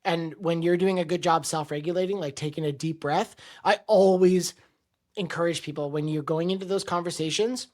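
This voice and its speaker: A man's voice: on the high side, steady tone, moderate pace, darkish tone. A man hosting a podcast, explaining ideas thoughtfully and often checking in with his co-host. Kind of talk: conversational podcast talk.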